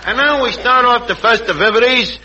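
An actor playing a pompous, blustering New tavern manager who mangles big words in 1940s radio comedy. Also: male voice, extremely high pitch, moderate pace. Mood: pompous announcing. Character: blustering malapropism-prone tavern manager, adult man. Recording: muffled, noisy vintage radio broadcast.

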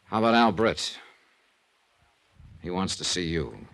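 An American actor playing a terse, world-weary but kindly insurance investigator in a 1950s radio detective drama. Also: male voice, low-pitched, big pitch swings, measured pace, creaky, a bit bright, full character voice. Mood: gentle, firm.

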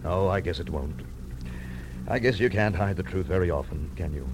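An actor playing a guilt-burdened, devoted widower in a 1950s radio drama, speaking in an earnest, halting, emotional way. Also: male voice, natural voice. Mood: resigned. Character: Mr. Tanner, guilt-burdened, devoted widowed husband, resigned and protective. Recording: noisy, rumbling vintage radio recording.

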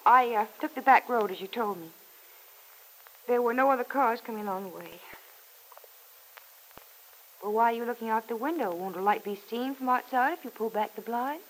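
An actress in an old-time radio drama playing a troubled, principled working woman, earnest and gently firm. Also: on the high side, fairly quick, low-quality recording. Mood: wary, polite.

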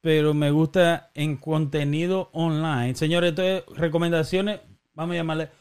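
A man's voice: on the high side, normal speed, clear, resonant tone. A man with a Dominican accent hosting a podcast, chatting casually and joking around. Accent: Dominican Spanish. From Dominican Republic. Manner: joking, animated, teasing. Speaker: podcast host.

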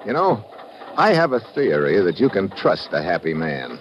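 A man's voice: low voice, very animated, normal speed. A man acting a tough, wry undercover agent in a 1950s radio drama, narrating and talking in a clipped hardboiled way. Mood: suspicious. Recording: dull vintage radio recording with music.

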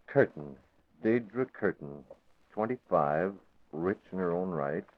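An actor playing a shrewd young male newspaper publisher in an old radio drama, speaking in a measured way. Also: deep voice, lively intonation, no echo. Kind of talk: 1940s radio acting. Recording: thin, dry, phone-like old radio recording.

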